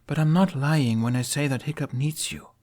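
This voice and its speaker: A man's voice: on the high side, normal speed, darkish tone, voice pushed up, close to an everyday voice. A man voicing an earnest, stubborn young female knight with an emphatic, imploring delivery in an audio story. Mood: earnest, insistent.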